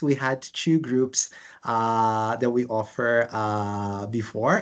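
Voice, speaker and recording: A presenter from Brazil with a Brazilian accent, walking through course details in a webinar, speaking with frequent uhs and restarts. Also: medium pitch. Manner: halting, many filler uhs and restarts. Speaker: language school presenter.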